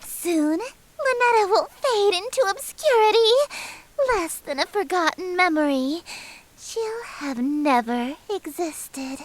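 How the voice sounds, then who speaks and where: A woman's voice: very high voice, animated, takes it slowly, heavily acted, rough recording. A woman playing a regal eldritch queen of chaos in a game, speaking formally and imperiously with menacing sweetness and theatrical condescension. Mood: smug, seductive. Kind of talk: visual novel game character acting.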